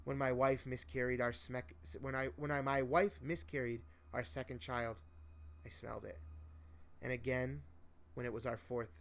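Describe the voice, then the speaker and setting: A man reading a first-person horror story aloud as host, in a solemn, measured, dramatic storytelling style. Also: mid-range voice, flat delivery. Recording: noticeable faint background noise.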